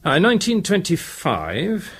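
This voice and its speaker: An actor playing an orderly English police inspector in a British radio drama, summing up in a precise, formal and measured manner. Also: male voice, high voice, wildly swooping pitch, a bit bright, slightly rough, full character voice. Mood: methodical, earnest.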